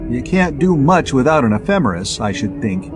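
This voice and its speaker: A man's voice: mid-range voice, very animated, moderate pace, lightly acted. Man voicing a plucky, teasing young woman over music. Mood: curious. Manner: questioning, teasing banter. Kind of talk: dramatized pulp science fiction audiobook.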